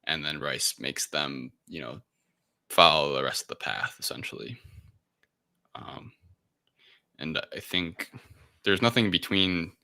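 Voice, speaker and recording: A man with a very low, fry-laden voice and a strikingly bright, forceful edge hosts a casual podcast. He retells events conversationally, often pausing and searching for the right words. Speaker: podcast host. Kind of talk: casual spoken movie plot recap.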